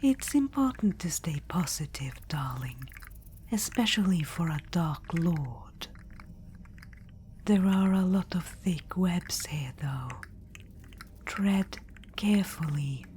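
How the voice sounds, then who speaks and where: A woman voicing a regal undead witch-queen in an ASMR roleplay, speaking in a soft whisper and calling the listener darling. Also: on the low side, animated, slow, pauses often, slight background noise. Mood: coaxing. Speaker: ASMR performer.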